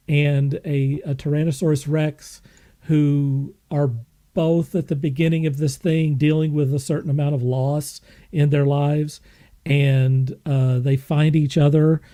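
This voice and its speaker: Higher-voiced mellow man, emphatic podcast host. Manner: emphatic, effusive, halting delivery with repetition. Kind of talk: enthusiastic casual review talk.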